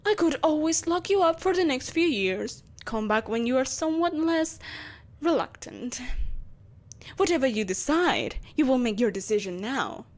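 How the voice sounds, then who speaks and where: A woman acts out an imperious, soulless empress in a scripted audio drama. Her voice sits fairly high and sweeps widely in pitch. She is possessive and menacing, with a haughty, theatrical delivery. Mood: impatient, threatening. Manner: haughty, theatrical, sighing exasperation, deliberate menace. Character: vengeful, possessive evil empress, soulless, imperious, coaxing a captive. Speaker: voice actor. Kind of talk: scripted audio roleplay acting.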